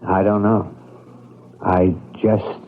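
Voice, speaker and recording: A man's voice: sits low, little pitch movement, slow pace. A man acting a broke, proud, bitter American husband and truck driver in an old-time radio drama, gloomy and sardonic. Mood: bitter, defeated. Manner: gloomy, sardonic, plain-spoken. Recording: old radio broadcast, thin narrow band.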